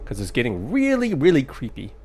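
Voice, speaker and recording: A man doing casual live commentary as he plays a game, chatty and wry with halting reactions. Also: mid-range voice, huge pitch swings, a little mellow, husky. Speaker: game streamer. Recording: faint game music behind.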